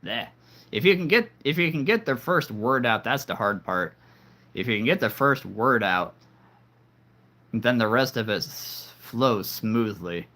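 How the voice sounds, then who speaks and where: A man's voice: mid-range voice, expressive, unhurried, sharp tone. A man hosting a live stream, rambling casually and making cheesy jokes to his viewers. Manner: rambling, jokey, punning.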